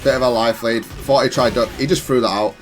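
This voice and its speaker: A man giving casual, analytical commentary on his own gameplay, self-critical but matter-of-fact and even-tempered. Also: medium pitch, brisk, soft background music. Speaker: gaming content creator commentating on own matches.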